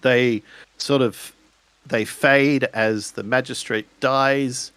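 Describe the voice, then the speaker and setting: A man improvising narration for a tabletop roleplaying game, speaking in a halting, deliberate way. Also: medium pitch, steady tone, fairly bright tone, smooth, no room sound.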